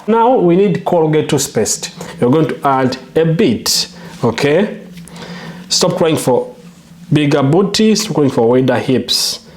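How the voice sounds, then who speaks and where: A man's voice: fairly high pitch, animated, slow, slight background noise. A man from Uganda with a Ugandan English accent, hosting a how-to video. He talks emphatically and promotionally, often checking in with the viewer. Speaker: channel host.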